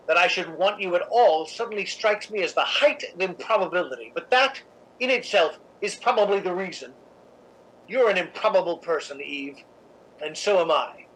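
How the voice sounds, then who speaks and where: A woman's voice: on the low side, a bit bright, low-quality recording. A woman playing a cold, cynical, domineering theatre columnist in a radio drama, speaking in an imperious, articulate way. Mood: controlling, cynical. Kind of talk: 1950s radio drama acting.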